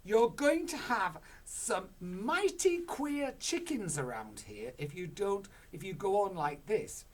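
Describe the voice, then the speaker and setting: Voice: mid-range voice, sing-song, takes it slowly, pitched above the performer's own voice. A storyteller voicing a worried, nagging mother who keeps doubting and scolding. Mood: fretful. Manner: fretful, scolding.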